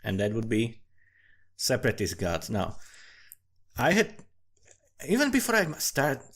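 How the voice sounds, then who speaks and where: A man's voice: mid-range voice, huge pitch swings, measured pace, a bit bright. A man giving a rambling, informal commentary on a fantasy world he is writing, swearing freely and often restarting his phrases. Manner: profane, informal, thinking aloud. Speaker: amateur fantasy writer.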